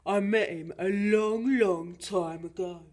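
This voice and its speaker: Storybook monster father read by a woman: big, ominous, slow and emphatic, full of dramatic warning. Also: medium pitch, a little mellow. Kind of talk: children's storybook character reading.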